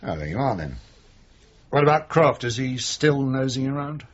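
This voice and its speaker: An actor playing a gruff, blunt, middle-aged chief inspector in a radio crime drama, weary and disgruntled, clipped in his speech. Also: medium pitch, very animated, normal speed. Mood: matter-of-fact. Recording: muffled 1980s radio drama recording.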